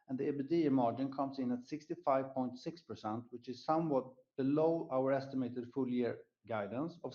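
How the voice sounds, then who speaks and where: Male corporate presenter, medium pitch, formal scripted earnings-call delivery, somewhat muffled lo-fi sound. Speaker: company executive presenting quarterly results. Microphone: conference call line.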